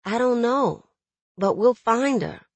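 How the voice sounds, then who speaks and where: A woman's voice: on the high side, expressive, takes it slowly, no room sound, voice pushed up, full character voice. Young woman acted by a female narrator, audiobook dialogue, loving, steadfast, earnest, dry sound. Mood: pleading, reassuring. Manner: gentle, earnest, reassuring. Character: loving, steadfast pregnant young woman soothing her jailed lover. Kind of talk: dramatic audiobook character dialogue.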